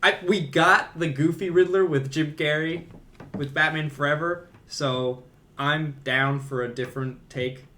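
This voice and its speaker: A man's voice: on the high side, fairly even intonation, measured pace, bright, forceful tone. A man co-hosting a podcast, giving informal, opinionated takes on movies in casual conversation. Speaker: podcast co-host.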